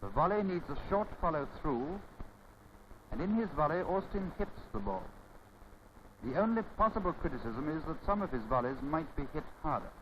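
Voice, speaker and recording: A man's voice: on the high side, very animated, highs cut off. Scratchy 1930s instructional film narrator, man. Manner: formal, didactic, measured phrasing.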